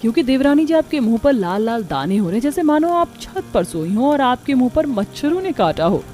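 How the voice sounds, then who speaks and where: A woman's voice: high-pitched, sing-song, brisk, mellow, pitched above the performer's own voice. Woman playing a nosy, teasing elder sister-in-law. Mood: curious. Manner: playful, probing, polite.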